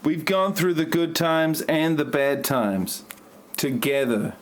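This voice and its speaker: A man's voice: a little high, slow pace, slight background noise. A man playing an aggrieved novelist and secret hacker with a stilted, robotic delivery in an amateur parody audio drama. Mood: earnest, stilted. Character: stiff, aggrieved male novelist and self-styled hacker.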